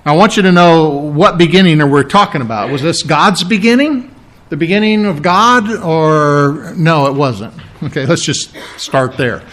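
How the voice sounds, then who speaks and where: A middle-aged man preaching a teaching sermon, explaining scripture with asides and humor and slowing down to stress key phrases. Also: fairly high pitch, fairly bright tone. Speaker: pastor.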